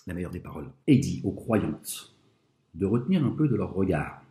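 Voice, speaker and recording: A man with a low, warm voice argues over scripture with lively rises and falls in pitch. He reads verses slowly in French and Arabic and keeps checking in with "d'accord?". The room around him is echoey. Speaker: religious commentator. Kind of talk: speaking to an audience about scripture.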